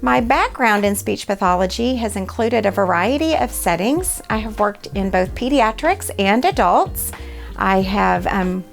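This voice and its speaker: A woman introducing herself as a speech pathology professor. Her voice has a gravelly fry, and her delivery is deliberate, with soft music behind. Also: medium pitch, lively intonation. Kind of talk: scripted self-introduction.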